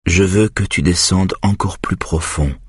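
A calm male hypnotist with a very deep, husky voice that stays soft and slow as a male narrator reads him. The voice sits close and dry, the way an audiobook reading does. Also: no room sound, exaggerated voice. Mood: soothing.

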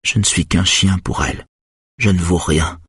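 A man's voice: deep voice, fairly even intonation, moderate pace, fairly bright tone, breathy edge, no echo, clean studio sound, full character voice. A man reading an audiobook voices a traumatized teenage boy under hypnosis, his words frantic and plaintive. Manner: frantic, breathless, rising panic.

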